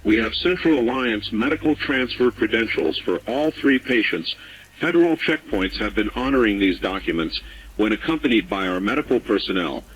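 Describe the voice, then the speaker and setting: A man's voice: lowish voice, expressive, moderate pace. A man playing a sober underground clinic operator in an audio drama, giving terse, formal, factual reports over a radio filter. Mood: grave, factual. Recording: dull radio signal with faint noise.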